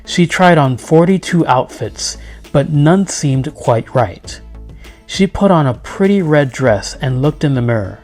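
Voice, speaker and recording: A man reads a children's picture book aloud as a storyteller. His delivery is measured and expressive, in a mid-range voice. Music plays behind him. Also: medium pitch. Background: background music.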